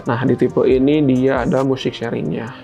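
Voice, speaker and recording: A man's voice: medium pitch, fairly even intonation, normal speed, creaky, dark tone, dull audio, soft background music. A man hosting a product unboxing in an informal, explanatory, step-by-step way. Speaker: product review host. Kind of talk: casual unboxing presentation to camera.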